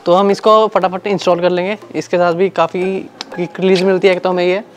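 Fast, flat-voiced man reviewing a product. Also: high-pitched, slight background noise. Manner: quick, plain explaining to viewers. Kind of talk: casual unboxing and demo narration.

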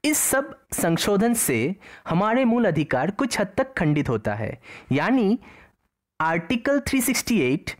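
A woman's voice: medium pitch, big pitch swings, a little slow. A woman narrating a slide-based exam-prep lecture, explaining each term in two languages. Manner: explains each term twice, in Hindi and in English.

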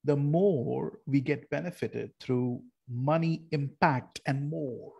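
A man's voice: a little high, slow pace, creaky, warm tone, slightly rough, muffled recording. A man talking in a reflective, casual conversation.

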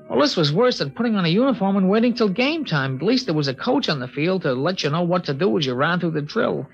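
A reflective teenage boy in a vintage radio play, his voice a touch high and plainly spoken. He narrates with a slight hesitancy, and the sound is dull and muffled like an old broadcast. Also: vocal fry, fairly bright tone. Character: earnest teenage boy narrating the last day. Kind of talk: 1950s radio drama acting and narration.

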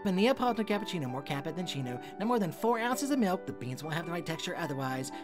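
A woman's voice: lowish voice, big pitch swings, fast, over music. A woman voicing a fussy, pompous imp in a cartoon comic dub. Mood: fussy, indignant. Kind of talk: fan comic dub acting with outtakes.

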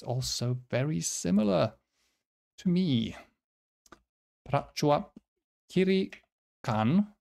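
A man from the Nordic region, a native speaker of Swedish or Finnish, talking casually to viewers as a quiz host, halting and self-deprecating as he thinks aloud. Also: medium pitch, darkish tone. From Finland or nearby Nordic region.

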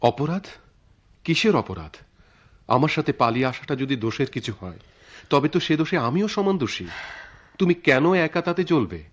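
An actor playing an idealistic young writer in love in a radio drama, poetic and earnest, with a Bangladeshi accent. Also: male voice, medium pitch, sing-song, moderate pace, long pauses. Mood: earnest, defiant. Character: romantic, idealistic young male writer, devoted eloping lover. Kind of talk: theatrical radio drama acting.

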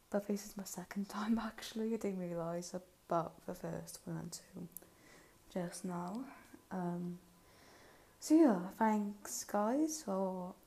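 A woman's voice: mid-range voice, dark tone. A woman giving casual, hesitant commentary as a reviewer in a reaction video. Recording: faint road traffic noise. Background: cars on a busy road.

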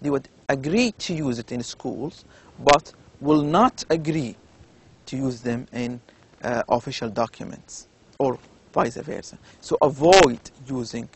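A man with an Arabic accent giving a recorded university lecture, explaining slowly with many pauses and fillers. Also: medium pitch, slightly rough. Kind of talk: recorded lecture over slides.

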